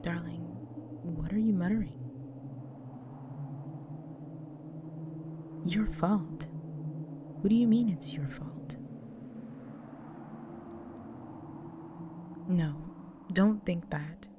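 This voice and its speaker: A woman playing a gentle, protective vampire wife in an intimate audio roleplay, speaking tenderly in a breathy, near-whispered voice. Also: deep voice, fairly even intonation. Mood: tender, sorrowful. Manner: tender, slow, soothing. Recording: noisy track with loud ambient sound.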